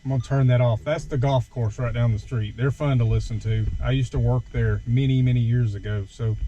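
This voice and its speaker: A man's voice: mid-range voice, dark tone, dull audio. A man giving a casual, informal, self-deprecating hands-on video walkthrough from inside a truck. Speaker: vlogger demonstrating his gear. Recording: clear background noise in a vehicle.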